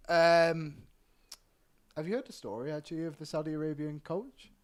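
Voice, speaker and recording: A man hosting a sports podcast, chatting casually and reading out betting odds in a lively way. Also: fairly high pitch, normal speed. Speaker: podcast host.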